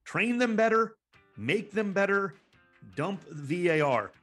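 A man's voice: high-pitched, lively intonation, takes it slowly, fairly bright tone, faint music behind. Emphatic man arguing about sports. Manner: emphatic, exasperated, repeats words for stress. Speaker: sports broadcaster, guest pundit. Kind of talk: impassioned sports commentary, panel discussion.

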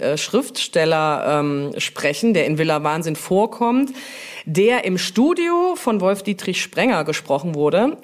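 A woman brand manager as an interview guest, explaining things informally in hesitant, piecemeal phrases. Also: lowish voice.

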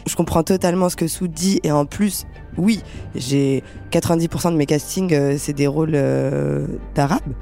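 A young actress from France, talking earnestly in an interview with many fillers and restarts. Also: female voice, low voice, moderate pace, creaky, warm tone, soft background music. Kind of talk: casual interview talk.

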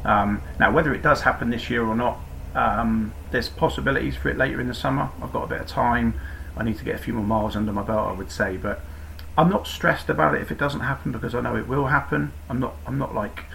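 Gravelly-voiced man rambling. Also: medium pitch, fast, a bit bright, slight background noise, rough recording. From Cornwall, England. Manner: rambling, self-deprecating, frequent restarts. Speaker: podcast guest, cyclist. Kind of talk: casual interview chat.

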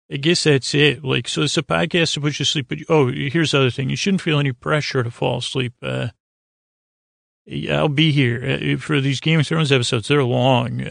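Male sleep podcast host: creaky, dry recording, wandering monologue full of stammers and doubled-back phrases. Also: mid-range voice, steady tone, no room sound. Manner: meandering, halting, stammering repeats. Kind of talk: rambling bedtime monologue.

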